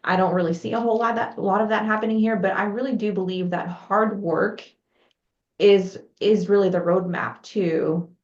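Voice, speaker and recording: A woman from Texas, a guest entrepreneur, chatting casually in a relaxed way, with many fillers. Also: medium pitch, moderate pace. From Texas, USA. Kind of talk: casual interview chat. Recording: echoey, dull lo-fi remote audio.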